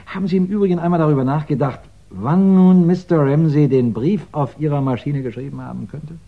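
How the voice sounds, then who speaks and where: An actor playing a courteous, probing police inspector in a 1960s radio crime drama, speaking deliberately with thoughtful pauses. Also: male voice, a little high, creaky. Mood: pondering, probing. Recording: dull, band-limited 1960s radio recording.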